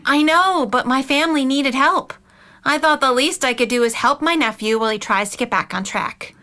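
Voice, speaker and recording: Aunt role for an actress: middle-aged woman, caring but fretful, high bright voice, earnest. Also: normal speed. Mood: pleading. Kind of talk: audio drama dialogue.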